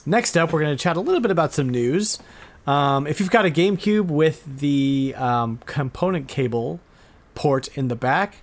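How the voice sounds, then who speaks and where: A man hosting a podcast, chatting casually and informally, often halting and repeating words as he goes. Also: fairly high pitch, expressive. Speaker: podcast host. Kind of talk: casual chat about retro game news.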